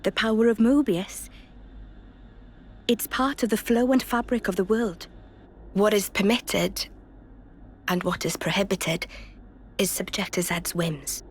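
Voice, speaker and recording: A woman's voice: medium pitch, fairly even intonation, slight background noise, lightly acted. Actress as solemn, regal, cryptic queen. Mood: grave, explanatory. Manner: measured, formal. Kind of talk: solemn video game voice acting.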